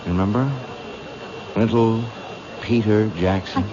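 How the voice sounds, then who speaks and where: A vengeful killer around thirty in a radio thriller, very deep and unhurried, with cool menace. The sound is muffled and hissy. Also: male voice, fairly even intonation, a crowd in the background. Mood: coolly menacing. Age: 30s. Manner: slow, deliberate, menacing. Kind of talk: old-time radio drama acting. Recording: muffled, noisy old radio broadcast.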